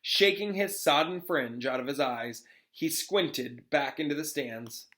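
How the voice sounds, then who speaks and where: Male teacher for dramatic read-aloud: bright, forceful, slightly high voice, suspenseful delivery. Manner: expressive, suspenseful, pauses to question listeners. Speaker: teacher reading aloud to students.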